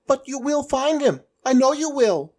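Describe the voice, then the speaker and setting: A man voicing a small, confident, kindly boy prophet in a story, with a high, piercing voice. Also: animated, moderate pace, darkish tone. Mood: earnest, reassuring. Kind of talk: character voice in storytelling. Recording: echoing, distant-sounding voice.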